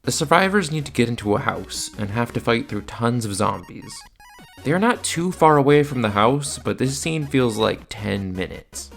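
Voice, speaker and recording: A man's voice: mid-range voice, expressive, normal speed, creaky, a bit bright, soft background music. A man narrating a scripted video review with casual asides, in a blunt, exasperated manner.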